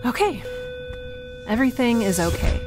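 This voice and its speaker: A woman's voice: on the high side, big pitch swings, moderate pace, over music. A woman playing a chatty, quirky, anxiously upbeat space dweller in an audio drama, rambling wryly and naturally. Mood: anxious, self-reassuring. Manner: rambling, wry, self-reassuring. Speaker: lead character. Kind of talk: naturalistic audio drama acting, talking to herself.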